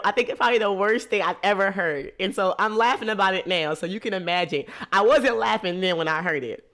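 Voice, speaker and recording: A woman, hosting a podcast and explaining ideas in a lively, laughing, casual way. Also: mid-range voice. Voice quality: nasal. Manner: lively, laughs a lot, teasing. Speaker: podcast host. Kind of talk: casual teaching talk.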